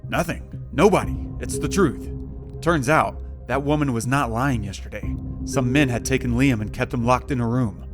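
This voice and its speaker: A man's voice: medium pitch, moderate pace, over music, natural voice. An actor playing a brusque, exasperated young businessman, curt and impatient, in an audio drama. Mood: awkward, guarded.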